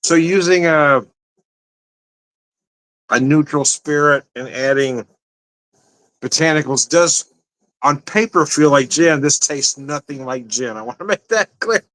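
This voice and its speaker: A man's voice: fairly high pitch, unhurried, long pauses, fairly bright tone, low-quality recording. Chatty man rambling through a tasting. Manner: chatty, rambling, laughs often. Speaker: drinks reviewer. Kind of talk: casual tasting review.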